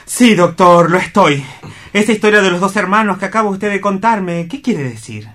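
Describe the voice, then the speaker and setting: An actor playing a troubled, intense man in a radio melodrama, with halting, emotional, insistent delivery. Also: high voice, expressive, fairly bright tone. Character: troubled, intense man tormented by brotherly rivalry. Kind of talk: melodramatic radio play acting.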